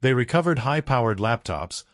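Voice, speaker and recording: Dramatic male documentary narrator, animated pitch. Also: normal speed, dry recording, studio quality. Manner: dramatic storytelling in short, punchy phrases. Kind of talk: scripted true-crime documentary narration.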